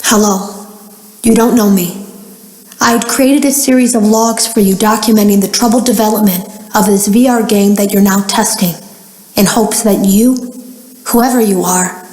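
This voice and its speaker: A woman playing an anxious game developer recording secret warning logs for a video game, speaking in a measured, grave way. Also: mid-range voice, fairly even intonation, darkish tone, echoey room, lightly acted, low-quality recording. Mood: urgent warning. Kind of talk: recorded log monologue, dramatic game voice acting.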